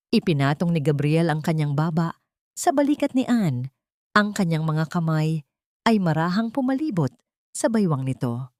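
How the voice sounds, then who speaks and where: A woman narrating a dramatic love story in a measured, emotive storytelling style. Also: lowish voice, darkish tone, no echo, clean studio sound.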